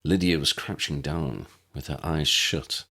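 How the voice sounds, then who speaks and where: A man reading scripted story narration in an expressive storytelling manner. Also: very deep voice, measured pace, breathy edge. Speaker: audiobook narrator.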